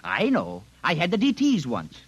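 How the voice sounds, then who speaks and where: An actor playing a slangy, dismissive junior police officer in a 1950s radio drama, mocking and humoring. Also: male voice, on the high side, wildly swooping pitch, normal speed, slightly rough, highs cut off, exaggerated voice. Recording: faintly noisy vintage radio recording.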